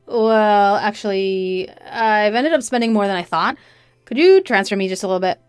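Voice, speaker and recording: A woman's voice: medium pitch, moderate pace, clear, resonant tone, no room sound, studio quality, soft background music, natural voice. A woman voicing a flustered, evasive cheating husband in a narrated story, stammering and pleading. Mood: sheepish.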